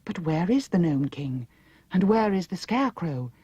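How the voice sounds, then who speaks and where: A woman's voice: lowish voice, very animated, normal speed, mellow, slightly rough, no echo, muffled recording, voice pushed up. A woman voicing an earnest, anxious young girl heroine in an audiobook, urgent and questioning.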